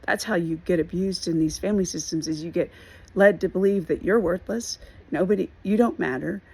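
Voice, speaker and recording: A hoarse woman giving an earnest personal video update, talking haltingly with many fillers and restarts. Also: fairly low pitch, mellow. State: hoarse from losing her voice. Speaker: trauma recovery vlogger.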